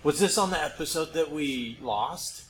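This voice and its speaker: A man's voice: a little high, animated, normal speed, roomy sound, noisy background. A man co-hosting a podcast in casual, conversational chat. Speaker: podcast co-host.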